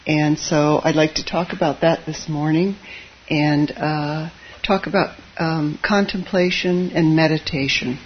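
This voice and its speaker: A soft-spoken Zen teacher giving an informal dharma talk to a live audience, hesitant and gentle, with frequent fillers and wry asides. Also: fairly even intonation, unhurried. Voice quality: soft voice that tends to drop low. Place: meditation hall with a live audience. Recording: dull lo-fi audio with faint hiss.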